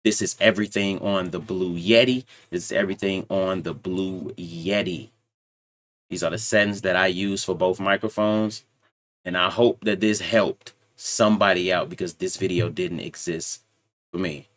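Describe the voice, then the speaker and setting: A man with a very deep, low voice talks casually into a desktop microphone at his home computer setup. He is self-assured and rambles, trailing off and starting over as he goes. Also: steady tone, moderate pace, fairly bright tone. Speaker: channel host reviewing microphones. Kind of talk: casual tech review talk. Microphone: desktop USB microphone.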